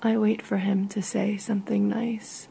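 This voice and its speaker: A woman reading a literary short story in a soft, measured way, with a husky, breathy voice. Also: mid-range voice, flat delivery.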